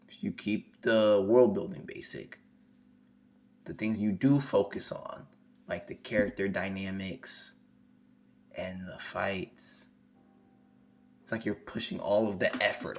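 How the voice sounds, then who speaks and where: A hoarse young man on a live stream, reading a manga chapter aloud and reacting excitably, swearing freely. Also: on the low side, a little slow, long pauses. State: hoarse, losing his voice. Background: faint music.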